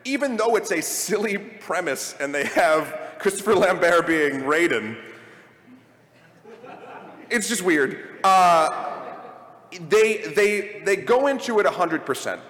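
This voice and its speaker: A man hosts a live panel discussion in a big reverberant space. His voice sits a little high, and he talks in a rambling, opinionated way, working out his points as he goes. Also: expressive, takes it slowly, long pauses, a bit bright. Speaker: panel host leading a discussion of video game movies. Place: large room at a convention. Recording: echoey hall, live panel recording.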